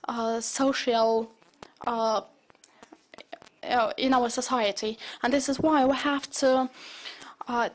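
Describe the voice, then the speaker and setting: A woman, giving a halting simultaneous interpretation of a speech and often correcting herself. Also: fairly high pitch.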